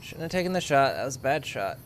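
Hoarse woman chatting through a game stream. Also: rumbling low, very animated, quick pace, very noisy. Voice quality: throat-clearing, slightly hoarse. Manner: chatty, talks to herself while playing. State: losing her voice. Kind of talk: casual gameplay commentary.

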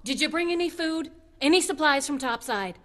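A woman's voice: high voice, animated, normal speed, a bit bright. A woman acting in an audio drama as a hard, suspicious queen of an underground gang, curt and commanding. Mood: dismissive. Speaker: actor.

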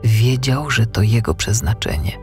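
A man narrating a scripted children's audiobook with expressive storytelling. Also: lowish voice, a little slow, faint music behind.

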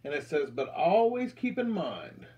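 An American man in his thirties reads a picture book aloud to children with deliberate, expressive storytelling delivery. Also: on the high side. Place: indoors, small room with curtain backdrop.

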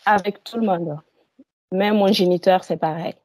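A woman's voice: lowish voice, fairly even intonation, moderate pace, a little mellow, dry recording. A woman in her thirties, a hypnosis client on a video call, telling her personal story hesitantly with many fillers.

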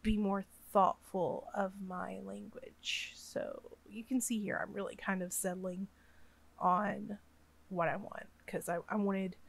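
A woman's voice: mid-range voice, steady tone, slow, vocal fry. A woman with a Southern US accent, sick and sniffly, chatting casually over her game build with lots of ums and uhs. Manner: rambling, chatty, many fillers.

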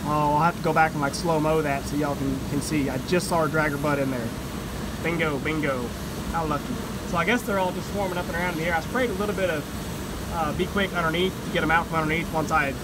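A man's voice: on the high side, steady tone, quick pace. A middle-aged beekeeper, chatting casually to viewers while he works outdoors at an airport, recorded on a phone camera set nearby. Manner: chatty, talks to viewers as "y'all". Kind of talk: casual vlog narration while working. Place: outdoors at an airport, near a truck. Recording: outdoor airport noise behind.

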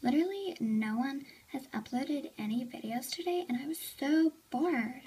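A woman's voice: high voice, lively intonation, moderate pace, warm tone, low-quality recording. A girl voicing a snobby gossiping teenage fake friend in a toy skit, with a valley-girl drawl full of "like" fillers. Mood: bored, bossy.

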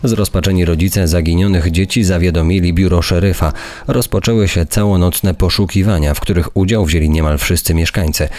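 A man's voice: deep voice, fairly even intonation, a little fast, darkish tone. A man reading a scripted true-crime narration. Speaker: podcast narrator. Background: background music.

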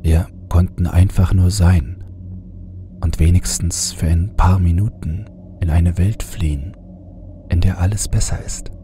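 A man narrating a scripted horror audiobook in a deliberate, suspenseful manner, sometimes hushed. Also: low voice, steady tone, background noise.

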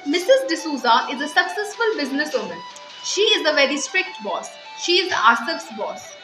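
A woman's voice: sits high, big pitch swings, moderate pace. A woman reading scripted story narration in an echoey recording. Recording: echoey, music behind.

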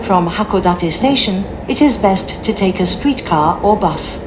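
A woman's voice: medium pitch, expressive, slow pace. A woman giving scripted onboard announcements as a train announcer, formal, polite and carefully phrased. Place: inside a train, over the announcement system. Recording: noisy train cabin recording.

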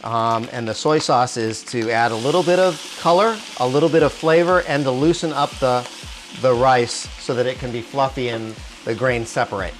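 Calm man teaching a cooking demo. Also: mid-range voice, normal speed, slight background noise. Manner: calm step-by-step instruction with small restarts. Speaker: cooking show host. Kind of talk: cooking demonstration. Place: home kitchen indoors. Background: soft music and sizzling wok.

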